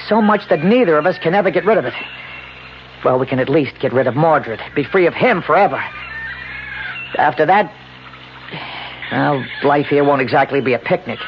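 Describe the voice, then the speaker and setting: A man's voice: a little high, natural voice. An actor playing a bitter, desperate, determined young man in a 1970s radio drama, insistent and slangy. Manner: insistent, hard-edged, slangy. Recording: muffled, noisy old radio broadcast recording.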